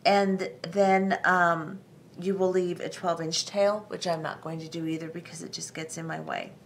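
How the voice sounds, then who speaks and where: A middle-aged woman hosting a step-by-step beading tutorial, talking calmly and methodically with frequent ums. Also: on the low side, a little hiss or hum. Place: tabletop craft workspace, indoors.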